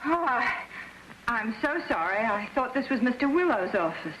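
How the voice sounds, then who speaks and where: A woman's voice: fairly high pitch, big pitch swings, moderate pace, fairly bright tone, lo-fi sound, a little hiss or hum. An actress playing a flustered young woman pleading for a job, stammering and wheedling, in 1940s film acting. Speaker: job applicant.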